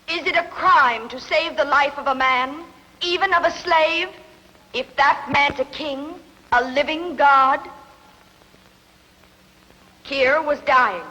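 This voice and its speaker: A woman's voice: high voice, sharp tone, exaggerated voice, poor audio. A woman dubbing a proud, calculating ancient queen in a formal, imperious manner for a film drama.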